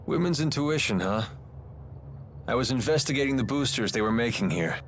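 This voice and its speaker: A man's voice: medium pitch, lively intonation, a little fast, a little hiss or hum, lightly acted. A man playing a cocky, wry young mercenary in a game, casual and nonchalant. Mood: sardonic. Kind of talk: English game dub acting. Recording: loud game music behind.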